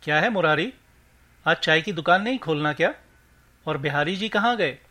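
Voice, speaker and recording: A man voicing a businesslike male shop owner, brisk and direct, in a story reading. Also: on the high side, animated, pushed and bright. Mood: questioning. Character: businesslike male medical shop owner, friendly but unyielding.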